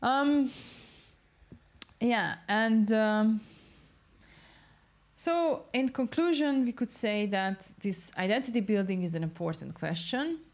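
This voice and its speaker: A woman's voice: fairly high pitch, animated, slow. A young woman researcher in an interview, explaining her study's findings carefully and searching for words as she goes.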